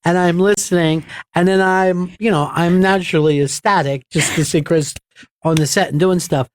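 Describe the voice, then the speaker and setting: A man hosting a radio talk show, telling a rambling story with halting restarts into a studio microphone. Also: high-pitched, fairly even intonation, no room sound. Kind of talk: casual radio chat.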